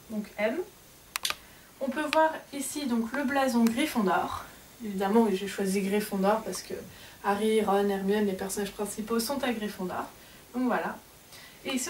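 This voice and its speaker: Hesitant, slightly high woman vlogger. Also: far from the mic, a little hiss or hum. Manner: hesitant, many fillers and self-corrections. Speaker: vlogger showing souvenirs. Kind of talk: casual show-and-tell.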